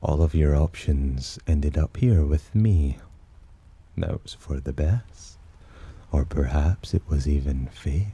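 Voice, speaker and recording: A man playing a teasing, possessive demon in an ASMR roleplay, with a breathy, husky voice and a smug, theatrical drawl. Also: a bass voice, slow, mellow, heavily acted. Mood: smug, curious. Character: teasing, possessive male demon sheltering a human. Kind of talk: ASMR roleplay, slow intimate character acting.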